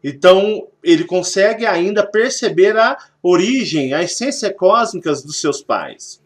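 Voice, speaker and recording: A man's voice: high voice, animated, measured pace. A Brazilian man with a Brazilian Portuguese accent, hosting a spiritual channel and explaining in a rambling way, repeating himself and using many fillers.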